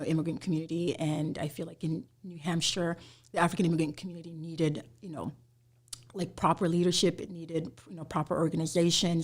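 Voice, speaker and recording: A woman's voice: low-pitched, husky. A woman from Somalia, a guest on an interview podcast, talking casually with many "you know" fillers and easy laughter. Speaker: guest, immigration attorney.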